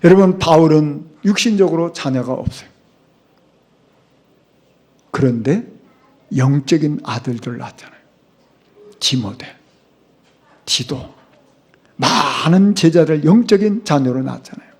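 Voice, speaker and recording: A man's voice: fairly high pitch, expressive, a little slow, long pauses, darkish tone, husky. A middle-aged man preaching a sermon as a pastor, speaking emphatically and asking the congregation rhetorical questions. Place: church sanctuary.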